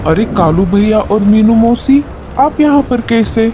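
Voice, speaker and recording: Man voicing a high, earnest little mother bird. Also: animated, a little slow, over music. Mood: alarmed. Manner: earnest, polite, pleading. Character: small gentle mother bird, earnest and pleading. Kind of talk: Hindi children's cartoon dialogue.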